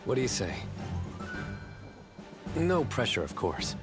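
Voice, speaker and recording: An actor playing a prince's loyal, level-headed companion in a video game, calm, practical and protective. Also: male voice, medium pitch, slow. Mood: easygoing. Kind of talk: video game cutscene acting. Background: music.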